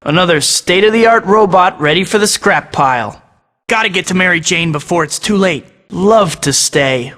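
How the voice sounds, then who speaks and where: An actor voicing a young masked superhero in a video game, talking himself through each task with wry jokes. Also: male voice, on the high side, fairly bright tone, big-room sound. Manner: wry, joking asides to himself. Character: young male masked web-slinging superhero, wisecracking. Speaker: video game hero voice clips. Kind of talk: game voice-over lines.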